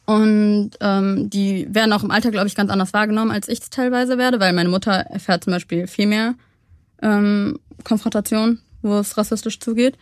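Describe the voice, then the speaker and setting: A woman's voice: mid-range voice, level tone, no echo. Thoughtful young woman, dry close sound. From Germany. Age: young adult. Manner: thoughtful, measured, frequent hesitations. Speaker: interview guest. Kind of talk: reflective interview talk.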